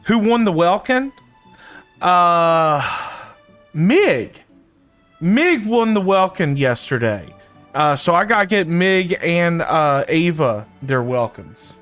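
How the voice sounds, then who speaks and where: Casting: male live streamer, casual and slangy, high-pitched, animated, light music in background. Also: slow. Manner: chummy, slangy. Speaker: game streamer.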